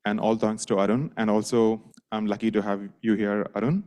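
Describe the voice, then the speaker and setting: A man, introducing a lecturer in a hall with a halting, anecdotal story. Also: on the low side, flat delivery, darkish tone. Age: 30s. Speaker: session host introducing a speaker. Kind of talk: spoken introduction.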